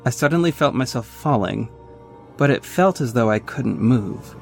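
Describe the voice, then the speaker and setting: A man's voice: medium pitch, lively intonation, moderate pace, gravelly, darkish tone, faint music behind. A man narrating an audio drama as its reflective hero, in scripted storytelling style. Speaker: narrator and protagonist.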